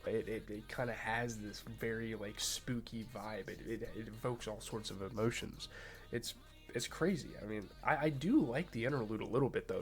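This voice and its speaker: A young man hosts a casual album review with a congested, nasal voice. He talks loosely and eagerly, stacking fillers and stumbling restarts, his pitch sitting in an ordinary middle range. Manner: loose and excited, many fillers and repeated starts. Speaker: music reviewer, channel host.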